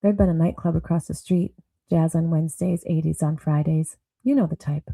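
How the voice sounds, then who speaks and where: Lady doing noir story narration, really mellow dark tone, husky w some creak, brooding and deliberate. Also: low voice, steady tone, dry recording.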